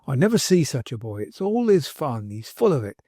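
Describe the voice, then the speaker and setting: A man voicing an excitable little barber who talks fast and high, half laughing and half crying. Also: no room sound, clean studio sound. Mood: excited.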